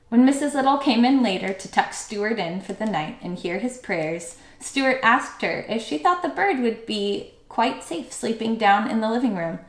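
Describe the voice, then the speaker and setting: A woman's voice: fairly high pitch, animated, moderate pace, hardly any pauses, roomy sound. A woman teacher reading a children's story aloud as narrator.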